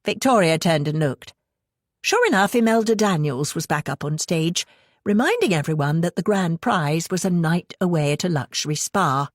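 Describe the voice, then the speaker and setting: A woman's voice: on the low side, animated, moderate pace, no echo, studio quality. A woman with a British accent reading scripted fiction as an audiobook narrator.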